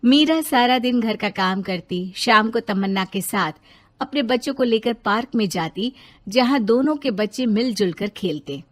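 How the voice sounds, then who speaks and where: Woman reading a moral story, high voice, lots of up and down, narrator style. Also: expressive, normal speed.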